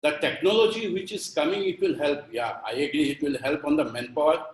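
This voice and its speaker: A man's voice: on the high side, a little fast. A man, a chef on a panel, making his points emphatically and repeating them. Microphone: video call. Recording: echoey room on a video call.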